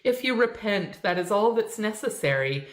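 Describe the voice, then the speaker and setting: A soft-spoken old minister, gentle and comforting in the face of despair, played by a woman reading a story. The room adds a noticeable echo. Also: mid-range voice, lively intonation, moderate pace, close to an everyday voice. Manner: gentle, soothing. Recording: echoey room.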